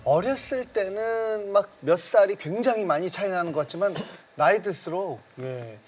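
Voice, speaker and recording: A man with a high voice hosts a casual talk show. He jokes and chats with lively swings in pitch, and music is clearly audible behind him. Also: normal speed. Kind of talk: casual variety show banter.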